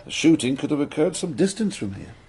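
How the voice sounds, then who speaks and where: An actor with an English accent playing a methodical, matter-of-fact police inspector in a British radio drama, husky and measured. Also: male voice, a little high, big pitch swings, warm tone, full character voice. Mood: speculative.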